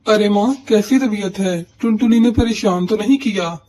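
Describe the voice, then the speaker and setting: A woman's voice: mid-range voice, moderate pace, dark tone, close to an everyday voice. A woman voicing an earnest young male pigeon, a husband and son, in a Hindi cartoon. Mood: caring.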